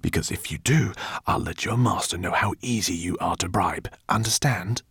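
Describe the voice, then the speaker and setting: A man playing a plucky, quick-witted, gentlemanly older professor who speaks urgently, often in a whisper. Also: on the low side, no echo, clean studio sound, pitched above the performer's own voice, natural voice. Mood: whispered threat.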